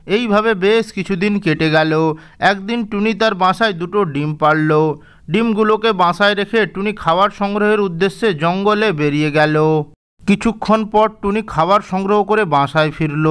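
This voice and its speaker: Clear high-voiced male storyteller. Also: a bit bright. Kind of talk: scripted storybook narration.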